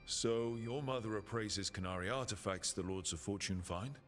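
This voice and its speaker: A man's voice: on the low side, moderate pace, close to an everyday voice. A man playing an easygoing, inquisitive adventurer in a video game, conversational and dryly playful. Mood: curious. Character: easygoing, curious male adventurer from an assassin guild. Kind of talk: video game dialogue acting. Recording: music under the dialogue. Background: game music.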